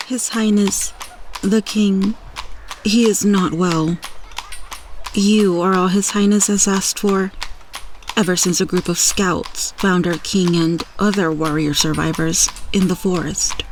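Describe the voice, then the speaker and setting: A woman playing a loyal, solemn royal handmaiden in a fantasy audio roleplay, speaking gravely in formal, archaic phrasing. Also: mid-range voice, fairly even intonation, takes it slowly, slight background noise, lightly acted, poor audio. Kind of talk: fantasy ASMR roleplay acting.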